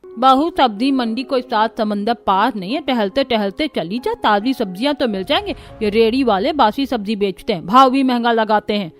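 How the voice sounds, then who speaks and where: A woman's voice: a little high, moderate pace, faint music behind, close to an everyday voice. A woman voicing a stingy, bossy middle-aged mother-in-law in a family cartoon, commanding and dismissive. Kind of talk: Hindi family cartoon dubbing.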